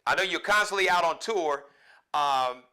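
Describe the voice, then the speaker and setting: A man's voice: on the high side, animated, moderate pace, pushed and bright, smooth. A man hosting an interview, chatting in a conversational, affirming way and asking the guest questions. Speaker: radio show host. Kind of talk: interview host chat.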